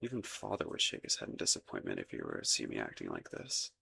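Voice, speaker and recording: A man's voice: rumbling low, wildly swooping pitch, fast talker, vocal fry, pushed and bright, a little hiss or hum, full character voice. A young man voicing a shy, awkward crown prince in a romantic audio roleplay, stumbling over his words out of embarrassment. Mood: sheepish. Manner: flustered and self-deprecating, stumbling over words. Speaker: ASMR voice actor.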